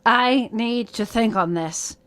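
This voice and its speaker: Older woman judge, audio drama. Higher register, polite, flustered, genteel. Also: slow pace, background noise. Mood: weary, pondering. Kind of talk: scripted audio drama acting.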